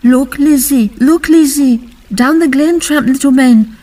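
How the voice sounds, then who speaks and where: A young maiden, played by a woman, with a high, earnest voice. She delivers Victorian verse theatrically and emphatically, standing back from the mic. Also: a little slow, warm tone, recorded from a distance. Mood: entranced. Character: young golden-haired maiden sisters, earnest and wide-eyed.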